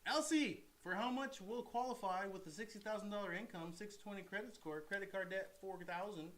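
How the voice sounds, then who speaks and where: Bright, high-voiced co-host reading questions. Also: male voice, a little fast. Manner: brief agreeing interjections, reads out questions. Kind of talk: casual chat.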